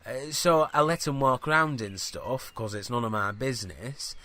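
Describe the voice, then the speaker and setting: Casting: male actor for teenage farm boy, earnest, colloquial, halting rambler in comic audio fiction. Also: medium pitch, pushed and bright, natural voice. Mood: matter-of-fact. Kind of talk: scripted comedy audio fiction.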